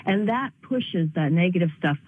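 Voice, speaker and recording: A woman's voice: fairly low pitch, expressive, normal speed. Earnest woman on a tinny phone line. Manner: earnest, reflective, with frequent restarts. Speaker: guest, speaker and author. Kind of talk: radio interview by phone. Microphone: telephone.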